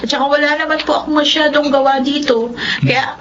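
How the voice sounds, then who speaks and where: An actress playing a polite young nanny in a radio drama, sweet on the surface and scheming. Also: female voice, high-pitched, fairly even intonation, a little fast, lightly acted. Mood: sweet, deferential. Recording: distant, muffled audio with clear noise.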